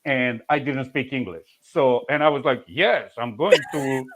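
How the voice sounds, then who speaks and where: A man in his forties from Serbia with a Serbian accent, an insurance claims manager telling his story in a relaxed interview, halting and full of restarts and soft laughs. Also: medium pitch. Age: 40s. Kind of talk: casual interview answers. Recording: muffled, lo-fi audio.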